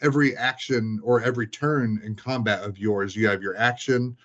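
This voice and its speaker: A man's voice: fairly low pitch, steady tone, normal speed, a bit bright, muffled recording. A man chatting casually and informally, swearing now and then and explaining things in detail. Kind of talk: casual chat.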